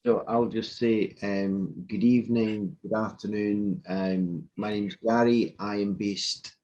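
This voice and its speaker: A man introduces himself at an online workshop, relaxed and hesitant with plenty of ums. His voice sits very low and he talks slowly. The audio is dull and lo-fi. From England, UK. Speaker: workshop participant. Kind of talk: casual self-introduction. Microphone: video call.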